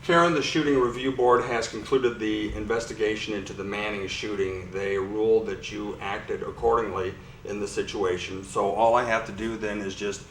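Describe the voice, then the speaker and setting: A man's voice: on the low side, steady tone, moderate pace, hardly any pauses, fairly bright tone, reverberant, distant mic, a little hiss or hum, natural voice, rough recording. A man playing a police captain delivering official news in an amateur sketch.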